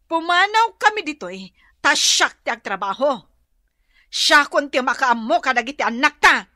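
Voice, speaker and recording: A woman's voice: sits high, expressive, moderate pace, sharp tone, dry recording, studio quality. An actress playing a fed-up, scolding wife and mother in an Ilocano comedy radio drama, with an Ilocano accent. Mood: firm, angry. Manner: nagging, exasperated.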